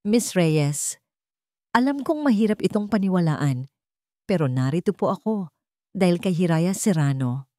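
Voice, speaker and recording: Woman reading as an earnest, calm, determined young man. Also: fairly low pitch, normal speed, long pauses, dry recording, studio quality, close to an everyday voice. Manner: calm, respectful. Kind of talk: dramatic audio storybook dialogue.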